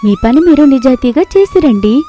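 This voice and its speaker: Woman playing a brave, high-voiced little village girl. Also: expressive, fairly quick, warm tone, faint music behind. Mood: calm, brave. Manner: reassuring, earnest. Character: fearless, sensible ten-year-old village girl.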